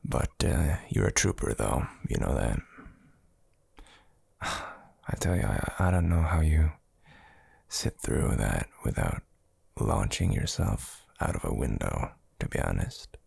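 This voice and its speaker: Man acting a slow, gravelly, cuddly ASMR boyfriend. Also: big pitch swings, dry recording, exaggerated voice. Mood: soothing. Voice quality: breathy, gravelly low murmur. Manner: soft, hesitant, drawling, teasing. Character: affectionate, teasing young boyfriend, sleepy and cuddly. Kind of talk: intimate ASMR boyfriend roleplay.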